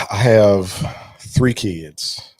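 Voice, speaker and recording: A man in his forties telling stories in a relaxed interview, acting out what people said. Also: fairly low pitch, extremely slow, no room sound. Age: 40s. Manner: animated storyteller, acts out quotes. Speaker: gospel artist guest. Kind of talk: casual interview storytelling.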